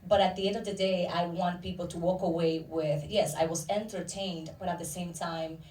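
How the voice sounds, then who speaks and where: A woman's voice: fairly low pitch, fairly even intonation, moderate pace, darkish tone. Dominican woman in a roomy, distant recording. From Dominican Republic. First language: Spanish. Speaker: actor and filmmaker interviewed. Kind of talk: casual interview answers. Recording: roomy echo, distant microphone.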